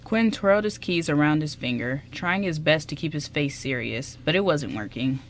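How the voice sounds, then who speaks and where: A woman's voice: low voice, expressive, moderate pace, slight background noise. A woman reading scripted story narration as the narrator of a radio drama.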